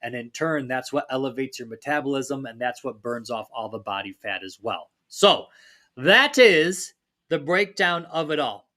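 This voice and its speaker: A man hosting a fitness podcast, talking in a casual, upbeat pep-talk way, his voice a little raspy from a recent cold. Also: mid-range voice, lively intonation, normal speed, sharp tone. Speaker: fitness coach and podcast host.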